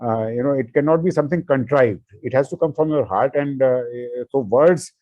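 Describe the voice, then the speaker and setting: A man's voice: mid-range voice, normal speed, no room sound, dull audio. A man whose first language is not English, an author answering interview questions with many fillers and restarts.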